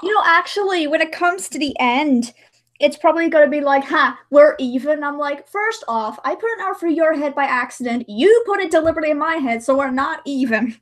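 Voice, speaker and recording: A woman's voice: high voice, expressive, fairly quick. A young woman hosting a casual livestream chat in a giggly, rambling way. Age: young adult. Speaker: livestream host and video editor.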